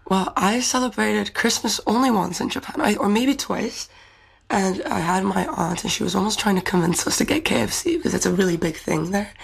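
A woman's voice: mid-range voice, animated, brisk, gravelly, slightly rough, rough recording. A young woman from the Czech Republic, a pageant winner answering interview questions in a thoughtful, earnest way. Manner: thoughtful, earnest, fluent English speaker.

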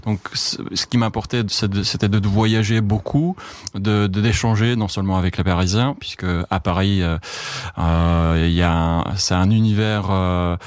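Low-voiced hesitant man, non-native speaker. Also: fairly even intonation, normal speed, dry recording. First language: Czech. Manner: hesitant, many restarts and fillers. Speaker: radio journalist. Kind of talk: casual interview answers.

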